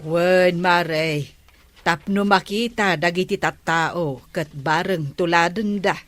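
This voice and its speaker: A woman's voice: on the low side, takes it slowly, fairly bright tone, no room sound. A woman acting a practical, matter-of-fact village wife in a radio comedy, with an Ilocano accent. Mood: encouraging.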